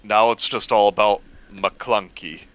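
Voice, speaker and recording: A man chatting casually and explaining things in an animated way, on a lo-fi recording. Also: on the low side, a little slow.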